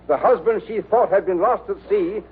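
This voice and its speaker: An actor playing a formal courtroom judge in old radio style, with a husky voice. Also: male voice, high voice, normal speed. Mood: solemn. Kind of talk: 1950s radio acting. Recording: tinny old radio broadcast.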